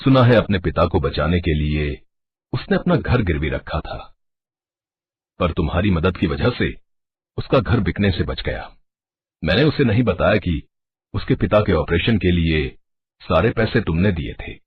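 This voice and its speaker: A man voicing a gentle, fatherly middle-aged doctor. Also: low-pitched, expressive, unhurried, long pauses, lo-fi sound. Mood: kindly. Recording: music under the voice.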